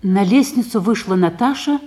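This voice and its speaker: A woman's voice: mid-range voice, expressive, moderate pace, warm tone. A woman narrating stage directions for a recorded play in a scripted style.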